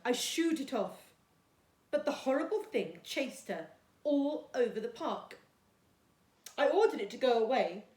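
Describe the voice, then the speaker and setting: A woman's voice: a little high, a little mellow. A woman voicing a snobbish, bossy, well-to-do mother from a storybook, prim and disapproving. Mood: haughty, indignant. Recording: echoey, roomy sound.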